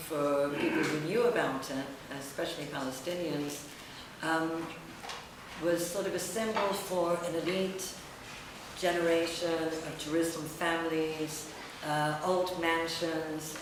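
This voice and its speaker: A woman's voice: sits low, fairly even intonation, unhurried. Middle-aged woman speaking, distant and echoey. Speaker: writer and editor presenting own essay. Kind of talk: public talk, semi-improvised. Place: echoey indoor room at a public event. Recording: very echoey distant room recording, noisy.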